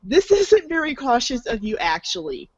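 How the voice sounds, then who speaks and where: Animated woman narrating a sardonic comic storybook. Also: high-pitched, moderate pace, husky, dry recording. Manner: playful, sardonic. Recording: muffled, dull lo-fi audio.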